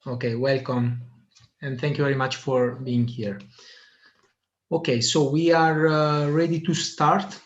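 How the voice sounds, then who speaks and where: A man's voice: mid-range voice, flat delivery, unhurried. A man hosting an online meeting, hesitant, with many filler sounds. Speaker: meeting host. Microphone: video call. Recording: muffled lo-fi video call audio.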